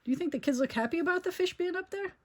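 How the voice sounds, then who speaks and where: A woman's voice: very high-pitched, lively intonation, rapid-fire. A woman reading a children's storybook aloud expressively, with chatty asides and questions to her listeners.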